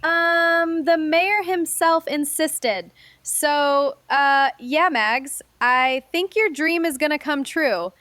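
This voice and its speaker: A woman's voice: high-pitched, slow pace, pushed and bright, no echo, exaggerated voice. A woman playing a brash, cocky young dog owner who boasts and wisecracks in an audio drama. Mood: cocky, teasing. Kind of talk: scripted fiction podcast acting.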